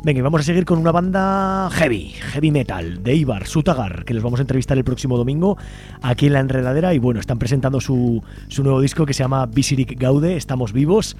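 A man's voice: mid-range voice, expressive, fast, a little mellow, soft background music. A man with an accent from Spain, hosting a radio show in an informal, colloquial way. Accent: Spanish from Spain. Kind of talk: casual radio interview and presenting.

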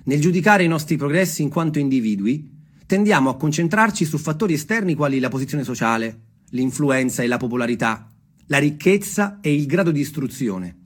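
A man telling stories and reading texts aloud as a theatre performer, expressive and deliberate in his delivery. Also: on the high side, husky. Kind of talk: storytelling with dramatic readings. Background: music.

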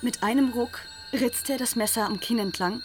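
Actress as grave, measured female narrator. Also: a little high, faint music behind, close to an everyday voice. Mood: intense. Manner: measured, literary. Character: former gang member gravely recalling the story. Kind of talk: dramatic first-person radio drama narration.

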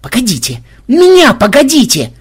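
A narrator voicing an irritable male vampire warlock who is exasperated, sarcastic and loudly indignant in an audiobook. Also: mid-range voice, sing-song, moderate pace, husky.

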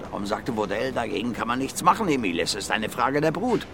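A gruff brawler in his fifties, a suspect in a radio crime drama. His pitch is mid-range but swings widely as he bluntly explains himself, with urban outdoor noise behind him. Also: big pitch swings, fast talker. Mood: brooding, insistent. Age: 50s. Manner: blunt, plain-spoken, repeating himself. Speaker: suspect being questioned.

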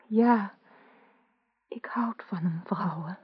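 An actress playing a lovesick, yearning young noblewoman with a breathy, hushed voice in a radio drama. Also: mid-range voice, takes it slowly. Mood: sad, timid. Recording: dull lo-fi old radio recording.

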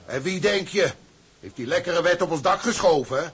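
An actor playing a cynical, indignant seasoned sea-tug officer in a radio drama, swearing and telling things with sardonic emphasis. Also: male voice, fairly high pitch, animated, normal speed, fairly bright tone.

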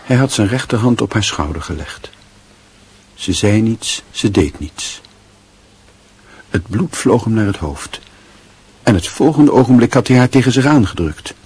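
An actor narrating a literary radio drama in a measured, reflective, scripted style. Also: male voice, fairly low pitch, animated, darkish tone, slightly rough, full character voice. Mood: tense.

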